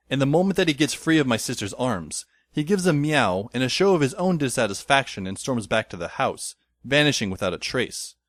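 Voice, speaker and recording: A man's voice: mid-range voice, a bit bright, no echo. A man playing a wry teenage schoolboy who narrates an audio drama in a dry, descriptive way.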